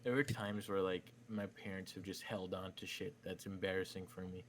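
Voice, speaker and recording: Young dude, kinda low voice, rambles and swears a bunch, faint noise behind. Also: male voice, little pitch movement, normal speed. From Manitoba, Canada. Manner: rambling, swears a lot, restarts sentences. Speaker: small YouTuber and podcast co-host. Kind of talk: casual chat.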